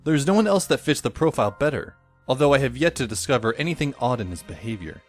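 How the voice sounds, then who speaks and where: Man acting a wry, lively-pitched teenage boy narrator. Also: fast, faint music behind, lightly acted. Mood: wry, observant. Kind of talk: first-person scripted narration, audio drama.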